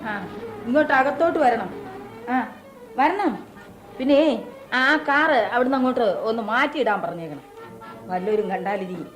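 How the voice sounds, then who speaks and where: An actress playing a back-room abortionist in a radio play, brisk and coaxing, reassuring her nervous client. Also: on the high side, soft background music, close to an everyday voice, low-quality recording. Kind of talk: 1970s radio drama acting.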